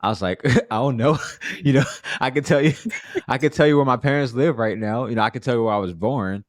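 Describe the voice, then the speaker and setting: A man's voice: medium pitch, fairly quick, no echo, clean studio sound. A man hosting a casual conversation in an easygoing way, often agreeing with his guest. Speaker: podcast host.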